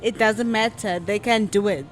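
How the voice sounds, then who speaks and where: A woman in her twenties, a podcast guest telling her own story in a casual, reflective way, often pausing to think aloud. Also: mid-range voice, faint music behind. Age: 20s. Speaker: podcast guest, modeling agency founder. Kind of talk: personal story in casual interview.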